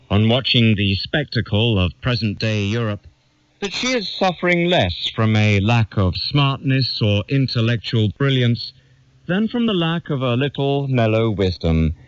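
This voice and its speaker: Expressive man narrating, dull lo-fi sound. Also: medium pitch, no echo. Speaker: narrator of a literary reading. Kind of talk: scripted audiobook narration of an essay. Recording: dull lo-fi radio capture.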